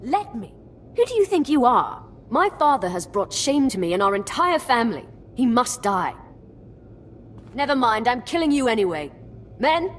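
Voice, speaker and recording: A fierce young Sith in a video game. Her fairly high voice swings widely, scornful and haughty, over faint background noise. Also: big pitch swings, normal speed. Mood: furious, cold. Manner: sharp, haughty. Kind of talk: dramatic video game acting.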